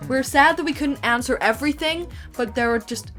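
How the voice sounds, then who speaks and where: A woman's voice: high-pitched, fairly quick, a bit bright. Chatty woman over background music. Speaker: co-creator of an online abridged series, answering fan questions. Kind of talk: casual Q&A chat. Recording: music playing behind the voice.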